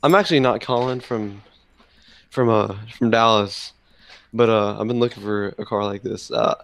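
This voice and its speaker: A man chatting casually as a prank caller, phrasing things in a hesitant, drawn-out way. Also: on the low side, gravelly, a bit bright. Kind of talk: casual phone chat.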